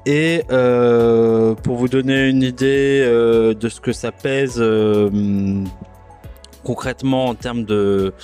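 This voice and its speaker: A man of middle pitch hosts a casual solo podcast, with faint music behind him. He talks in a relaxed, self-mocking way, halting often and restarting his sentences. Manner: hesitant, full of filler sounds and restarts. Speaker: podcast host.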